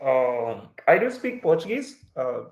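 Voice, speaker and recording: A man's voice: mid-range voice, sing-song, unhurried, darkish tone, slight background noise. A man from Angola whose first language is Kikongo, talking casually and hesitantly as a podcast guest, often restarting. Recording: roomy echo.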